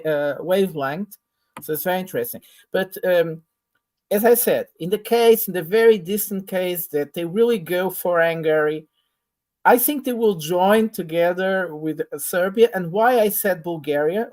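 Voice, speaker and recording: A man with a notably high voice, hosting a relaxed online panel. He talks quickly and chattily, animated as he builds his points. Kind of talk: casual online panel discussion.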